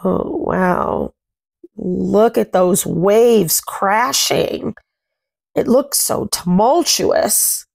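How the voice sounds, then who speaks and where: Slow-paced woman reading a storybook aloud. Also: mid-range voice, vocal fry, a little mellow. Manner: simple, deliberate phrasing with frequent questions to the listener. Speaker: children's read-aloud host. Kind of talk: storybook read-aloud with commentary and questions to young listeners. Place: small furnished room at home.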